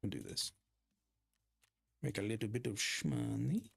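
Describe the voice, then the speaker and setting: A man's voice: on the low side, measured pace, creaky, a bit bright, husky. A man streaming a game, chatting casually with his viewers, rambling and poking fun at himself as he plays. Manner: rambling, self-deprecating, chatting with viewers while playing. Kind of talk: casual gaming livestream chat.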